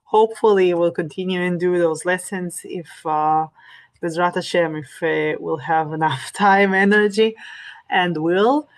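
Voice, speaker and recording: Soft-pitched woman. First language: Hebrew and Russian. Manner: hesitant, many fillers and restarts; reads Hebrew passages quickly. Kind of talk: informal online lesson.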